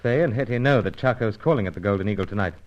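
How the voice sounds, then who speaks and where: An actor playing a brisk, suave secret agent, probing and wry, with clipped phrasing in a radio adventure serial. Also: male voice, lowish voice, lightly acted. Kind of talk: old radio adventure serial acting and narration. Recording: muffled old radio recording, music behind.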